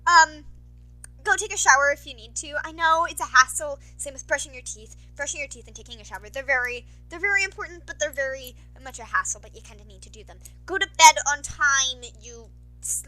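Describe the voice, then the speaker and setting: A woman's voice: high voice, expressive, brisk, sharp tone, poor audio. A young adult woman chatting casually to her listeners in a scattered, effusive, apologetic way, like an informal update from a podfic reader.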